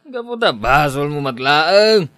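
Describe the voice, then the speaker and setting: A teasing drinking pal in an Ilocano radio drama. He talks slowly with big swings in pitch and has an Ilocano Filipino accent. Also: big pitch swings, a bit bright, no echo, studio quality. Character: teasing drinking buddy of a married man. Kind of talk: Philippine radio drama acting.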